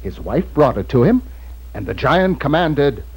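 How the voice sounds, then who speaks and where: A man narrating a scripted children's storybook in an expressive, dramatic way. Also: on the high side, measured pace, breathy edge, rough recording. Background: loud music.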